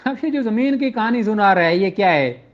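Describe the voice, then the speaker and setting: Male law lecturer, Pakistani Punjabi accent, high-pitched, conversational and explanatory, dull recording. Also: animated, fairly quick. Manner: conversational, poses rhetorical questions, switches into English legal terms. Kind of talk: informal explanatory lecture with examples, reading out statute slowly.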